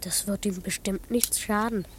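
A woman's voice: medium pitch, unhurried, a little hiss or hum, natural voice. A woman voicing an eager, confiding twelve-year-old boy detective who narrates a children's radio play. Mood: whispered disapproval. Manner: confiding, excitable, whispered asides.

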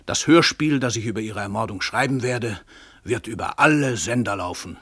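An actor playing a pompous, cold-blooded famous crime writer in a radio play, declaiming in a grand, commanding way. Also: male voice, on the low side, moderate pace, fairly bright tone, breathy edge. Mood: grandiose, triumphant. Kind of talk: German radio play acting.

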